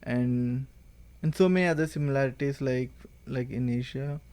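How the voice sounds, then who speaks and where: A man's voice: medium pitch, normal speed, darkish tone, clean tone. Easygoing young man. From India. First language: Telugu. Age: young adult. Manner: easygoing, heavy use of "like" fillers. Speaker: guest, married student. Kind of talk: casual podcast chat.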